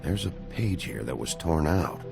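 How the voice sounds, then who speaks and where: An actor playing a gruff, weary, no-nonsense sheriff in a noir video game, blunt and terse. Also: male voice, a bass voice, lively intonation, normal speed, over music, full character voice. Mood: probing.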